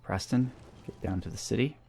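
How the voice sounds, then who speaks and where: Low-voiced man commentating a strategy game. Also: fairly quick, gravelly, a little mellow. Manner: talkative, thinking aloud. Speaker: gaming channel host. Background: video game music.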